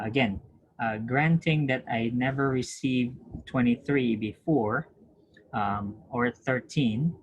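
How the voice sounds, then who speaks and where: Brief: male nursing lecturer, patient and repetitive, mid-pitched voice, light hiss on the recording. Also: dull audio. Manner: patient, repetitive, checks understanding with questions; frequent throat clearing and restarts. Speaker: nursing instructor. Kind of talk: recorded lecture over screen share.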